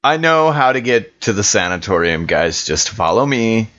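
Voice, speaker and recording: A man's voice: on the low side, very animated, moderate pace, a bit bright, highs cut off. A man with a laid-back, slangy way of talking, improvising as a player in a tabletop roleplaying game.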